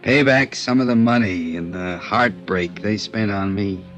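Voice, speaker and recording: A bitter washed-up violinist. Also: male voice, fairly low pitch, a little slow, a bit bright. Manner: bitter, brooding, confessional. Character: bitter washed-up male violinist, drunken has-been. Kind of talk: 1950s radio drama acting. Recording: old radio broadcast with music.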